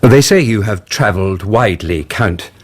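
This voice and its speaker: An actor playing a haughty crown prosecutor in a radio drama, formal and officious, with a husky voice. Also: male voice, lowish voice, lively intonation, normal speed. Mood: stiffly polite.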